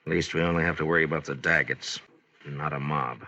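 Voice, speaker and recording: An actor playing a steady, tough, wry American frontier marshal, terse and commanding, in an old-time radio western. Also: male voice, very deep voice, fast, exaggerated voice. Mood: grim, commanding. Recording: noisy old radio recording.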